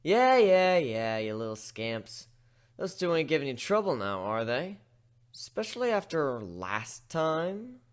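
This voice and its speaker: A man voicing a cocky, sarcastic young male griffin in a story reading, teasing and deadpan. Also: mid-range voice, measured pace.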